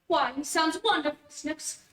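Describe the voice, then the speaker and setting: Woman playing a high-voiced wry radio-comedy mother. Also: moderate pace, fairly bright tone. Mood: wry, teasing. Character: wry, teasing wife and mother. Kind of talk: old-time radio comedy acting. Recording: echoey room, distant mic, noisy.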